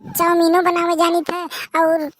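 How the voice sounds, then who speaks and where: Lady doing a gabby village woman for awadhi cartoon, high voice, boastful n folksy, dry sound. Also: completely flat delivery, measured pace, no echo. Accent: Awadhi, rural northern India. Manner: folksy, garrulous, bantering. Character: chatty, boastful village women of a matchmaking comedy. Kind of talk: Awadhi cartoon comedy dialogue.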